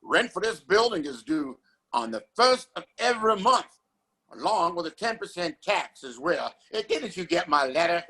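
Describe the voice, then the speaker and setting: A man's voice: high voice, animated, moderate pace, pushed and bright, husky, heavily acted. A man playing an oily, pompous mayor in a Western audio play, with falsely courteous speech. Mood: smug, demanding. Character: smug, oily Old West town mayor.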